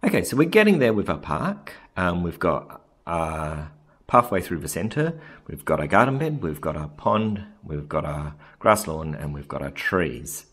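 A man casually narrating a software screen recording, thinking aloud with frequent ums and self-corrections. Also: sits low, very animated, a little slow, breathy edge. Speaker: software tutorial presenter.

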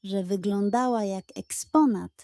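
A woman's voice: mid-range voice, a little slow, mellow, clean tone, no room sound. A woman reading a scripted bedtime story for children as its narrator.

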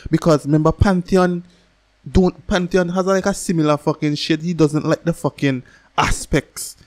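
A man's voice: on the high side, moderate pace. A man in his thirties giving casual, slangy, profane reaction commentary as a video host, close on a desk microphone. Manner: slangy, profane, animated with hands. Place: small home room, indoors. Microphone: desk microphone on boom arm, close.

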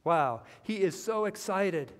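A middle-aged man preaches from a church pulpit with a somewhat high voice. He talks earnestly and builds into excitement over scripture. A long reverb hangs in the sanctuary around him. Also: slow. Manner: earnest preaching that swells with excitement, lines read from the Bible. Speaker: pastor. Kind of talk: sermon.

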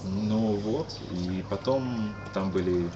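A tired man in his thirties from Kyiv giving a casual, halting eyewitness account as an interviewee, repeating and restarting his words. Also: fairly low pitch. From Kyiv, Ukraine. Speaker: interviewee, musician. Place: cafe-like booth indoors. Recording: muffled lo-fi webcast, loud background noise.

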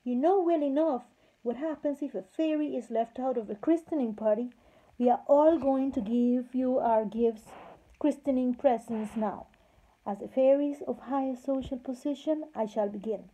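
A woman's voice: high voice, moderate pace, mellow. A woman voicing the oldest and most wicked female fairy, rude and haughty, in a storybook reading. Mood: scolding. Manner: scolding, haughty. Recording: slightly muffled lo-fi recording.

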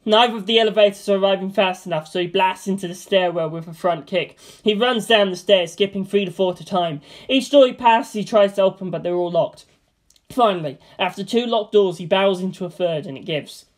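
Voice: mid-range voice, brisk. A narrator reading screenplay scene headings and action lines as scripted narration.

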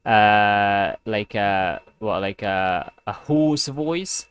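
A man's voice: on the low side, expressive, extremely slow, fairly bright tone, clear, resonant tone. A young man teaching an online one-on-one English class over a headset mic, patiently rephrasing and spelling out words. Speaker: English tutor. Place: home room, video call. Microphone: gaming headset with boom mic.

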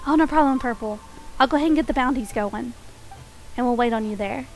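High-voiced, slightly nasal woman streamer. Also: moderate pace, faint music behind, poor audio. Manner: soft-spoken, chatty, frequent small laughs; voice pitched up from her natural register. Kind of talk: casual game stream chat.

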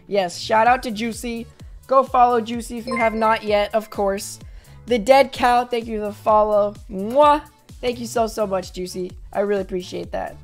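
A young woman in her twenties streaming live from a small bedroom, hoarse from yelling, bubbly and effusive as she thanks viewers over a headset mic. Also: on the high side. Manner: bubbly, effusive, quick swings to excited squeals.

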